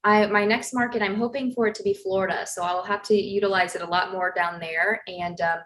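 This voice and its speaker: A woman's voice: medium pitch, fairly even intonation, a little fast, fairly bright tone. A woman speaking as an interview guest in casual, informal and somewhat hesitant phrases.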